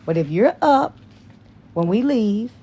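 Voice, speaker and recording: A woman voicing a confident, fun-loving young female teacher in an audiobook, in a lively, assertive way. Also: medium pitch, slow, slightly rough, pitched above the performer's own voice, heavily acted. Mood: placating. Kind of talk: dramatized audiobook dialogue.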